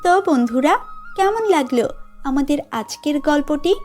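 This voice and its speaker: Very high-pitched woman storyteller. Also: lively intonation, moderate pace, darkish tone, soft background music. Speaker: storyteller, narrator. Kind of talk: scripted children's story narration.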